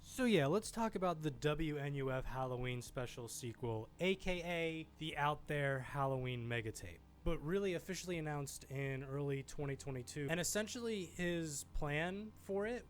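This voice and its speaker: A man's voice: on the high side, a little hiss or hum. A man hosting a video essay, giving informal, enthusiastic film commentary in a conversational style. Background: background music.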